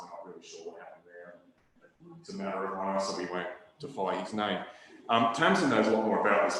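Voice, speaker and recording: A man giving a history talk to an audience, in a measured academic way with frequent restarts. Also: low voice. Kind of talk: lecture with audience Q&A. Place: hall with audience. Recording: very echoey room recording.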